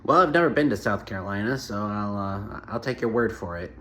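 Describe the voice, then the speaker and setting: A man giving comedic reaction commentary with sarcastic, deadpan quips. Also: on the low side, a little fast.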